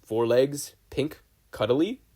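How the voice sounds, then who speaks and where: A man's voice: medium pitch, lively intonation, slow, dark tone, slightly rough, pitched above the performer's own voice. A man voicing a burly, supportive minotaur warrior in a story, earnest and emphatic.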